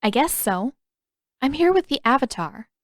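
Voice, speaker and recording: A woman's voice: high-pitched, very animated, normal speed, no room sound, voice pushed up. Guarded, plain-spoken teenage heroine for fantasy audiobook female narrator acting, exasperated edge, very dry room.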